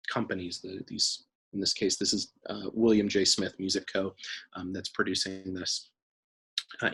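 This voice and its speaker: Guy with lowish husky voice, archive staffer talking thru items, pauses a lot, thinking as he goes. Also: fairly bright tone, poor audio. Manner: halting, thoughtful explaining with frequent pauses. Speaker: music archive staff member giving an interview. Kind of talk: informal explanatory talk about archive items.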